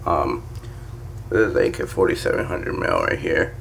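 A hoarse young man recorded on a handheld phone in a dim bedroom, his sick morning voice low and gravelly. He rambles through a casual vlog, leaning on fillers and calling his viewers guys. A faint hum of room sound sits behind him. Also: normal speed. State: hoarse from being sick and just woken up. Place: dim bedroom at home, indoors. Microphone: phone held in hand.

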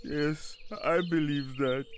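A slow-speaking, remorseful old father. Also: a little high, fairly even intonation, slight background noise. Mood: earnest. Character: frail, fearful, remorseful elderly father. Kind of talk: radio drama acting.

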